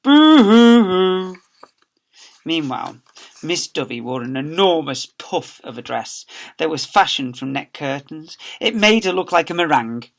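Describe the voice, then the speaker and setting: A man's voice: on the high side, animated, moderate pace, vocal fry, a bit bright. A man, a teacher, reading a storybook aloud to young children with playful, theatrical emphasis.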